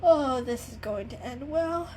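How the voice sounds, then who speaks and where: A woman's voice: high-pitched, expressive, a little slow, a little mellow, soft background music, pitched above the performer's own voice. A woman voicing a skeptical but kindly female cat sidekick in a storybook reading, speaking emphatically. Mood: pleading.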